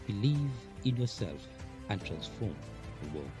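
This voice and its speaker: A man reading a scripted show promo in a slow, deliberate, inspirational way. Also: fairly low pitch, very animated. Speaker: show announcer. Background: music.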